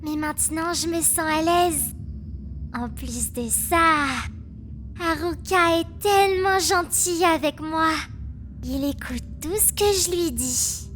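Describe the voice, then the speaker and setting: Anime dub girl prisoner, female performer: very high voice, cutesy, whiny, pleading self-pity. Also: a bit bright. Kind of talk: French anime fan dub monologue.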